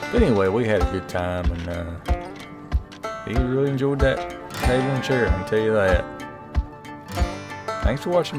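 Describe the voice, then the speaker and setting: Low-voiced man rambling over music. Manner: relaxed, rambling, many fillers and restarts. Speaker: product reviewer. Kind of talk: casual voice-over review. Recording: music playing underneath.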